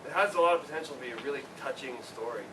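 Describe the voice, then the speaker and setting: A man playing a laid-back, reserved student in an amateur stage play reading, low-key and terse. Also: very fast, close to an everyday voice. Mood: appreciative. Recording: distant mic, clearly noisy live room.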